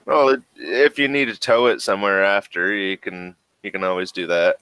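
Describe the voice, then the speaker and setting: A man running a tabletop role-playing session as game master, talking in a relaxed, halting way with many fillers. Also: low-pitched, clear, resonant tone, dry recording.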